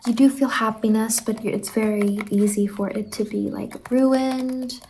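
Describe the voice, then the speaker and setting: A soft-spoken woman doing an ASMR roleplay as a salon worker, soothing and reassuring, often slipping into a whisper. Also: a little high, fairly even intonation, unhurried, a little hiss or hum, low-quality recording.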